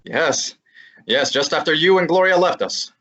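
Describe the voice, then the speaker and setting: A wisecracking young male sidekick detective, played by a young student actor, talking tough and slangy at mid pitch with a husky edge. The sound is a little dull and lo-fi, like a school radio play. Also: expressive, normal speed, a bit bright. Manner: brash, slangy tough-guy delivery. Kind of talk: old-time radio drama acting and narration.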